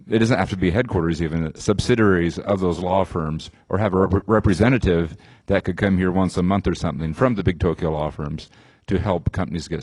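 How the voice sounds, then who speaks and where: A man speaking as a panelist in a conference discussion, relaxed and conversational, with a few restarts. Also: low voice, no echo. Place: conference venue.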